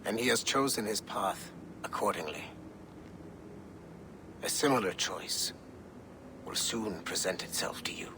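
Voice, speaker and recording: An actor playing a grave, secretive male corporate official in a game, speaking in a measured, ominous, terse way. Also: on the low side, noisy background. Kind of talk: scripted game dialogue.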